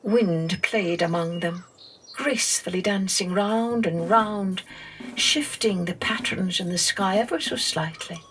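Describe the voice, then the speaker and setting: A woman storyteller reading a scripted children's tale in a deliberate, expressive manner. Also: medium pitch, slight background noise, poor audio. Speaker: storyteller, podcast host.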